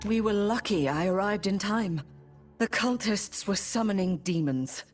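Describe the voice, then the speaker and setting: A woman playing a solemn, cool-headed necromancer in a video game, speaking in a deliberate, restrained way. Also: mid-range voice, animated, darkish tone, faint music behind. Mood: grave. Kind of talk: dramatic video game voice acting.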